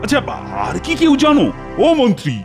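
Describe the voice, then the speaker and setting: A man's voice: extremely high pitch, sing-song, fairly quick, soft background music. A man playing a vain, anxious middle-aged king in a comedy cartoon, dramatic, fussy and commanding in manner. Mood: impatient. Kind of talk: Bengali comedy cartoon voice acting.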